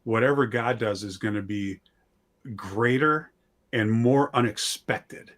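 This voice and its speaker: A man's voice: medium pitch, takes it slowly, fairly bright tone. A middle-aged man chatting casually as an interview guest, with folksy, self-deprecating phrasing. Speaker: interview guest, audio drama writer. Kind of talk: casual chat.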